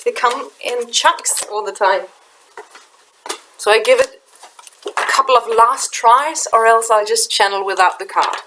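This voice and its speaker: Middle-aged Danish woman, slightly high voice, soft hesitant English, small room, webcam. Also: noisy background. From Denmark. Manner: soft, halting, repeats words while searching for them. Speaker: spiritual channeler. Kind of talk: casual spiritual vlog talk. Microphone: webcam in front of her.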